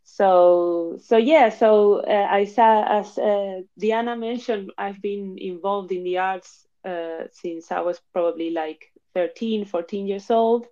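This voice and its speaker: A woman's voice: mid-range voice, fairly even intonation, slow, hardly any pauses, warm tone. A woman in her thirties, born in Argentina and raised in Spain, chatting casually as a guest with frequent fillers. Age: 30s. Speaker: guest, NFT platform founder. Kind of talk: casual chat. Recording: dull lo-fi audio.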